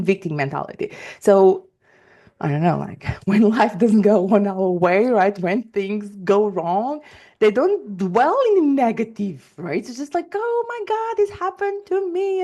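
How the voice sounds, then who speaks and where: A woman's voice: mid-range voice, mellow. A woman from Portugal with a Portuguese accent, a coach hosting her own podcast, talking emphatically with many fillers and restarts. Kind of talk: solo podcast talk.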